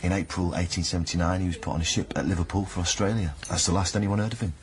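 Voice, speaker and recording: Radio play: male actor as gentle, concerned partner, low-pitched natural delivery over noticeable background noise. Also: fairly even intonation, very fast, natural voice. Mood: grave. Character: earnest, concerned male partner of a troubled woman. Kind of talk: naturalistic radio drama dialogue.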